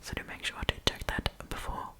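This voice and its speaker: A woman's voice: a little fast, bright, forceful tone. Whispering woman, casual ASMR storyteller. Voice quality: breathy, unvoiced whisper. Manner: informal, conversational, opinionated. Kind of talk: whispered ASMR, casual chatty commentary on stories.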